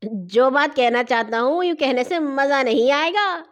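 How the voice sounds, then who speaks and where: A woman voicing a brash, impatient male robber in a storybook reading, loud and demanding. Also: very high-pitched. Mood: teasing, impatient.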